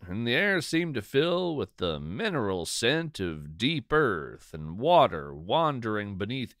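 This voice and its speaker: A man reads literary narration in a measured, deliberate way. His pace is slow, and his pitch moves with lively expression. The recording is dry, with very little room sound around the voice. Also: no echo. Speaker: podcast host and narrator. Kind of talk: scripted narration, poetry reading and storytelling.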